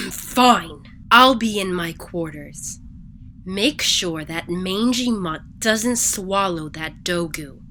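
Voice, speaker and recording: A woman's voice: fairly low pitch, animated, takes it slowly, bright, forceful tone, slight background noise, full character voice. A woman voicing a hot-tempered, imperious alien woman in a science fiction audio drama. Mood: sullen, commanding. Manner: imperious, snappish. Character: hot-tempered, haughty Gargonian alien woman, hates animals.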